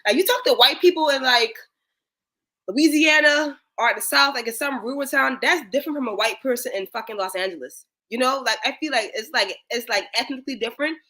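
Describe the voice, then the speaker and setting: Lively bright-voiced woman with Southern Geechee accent. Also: high voice, a little fast. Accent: Gullah Geechee, South Carolina. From South Carolina. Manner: animated, slangy, many "like" fillers. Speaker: guest commentator. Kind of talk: casual chat.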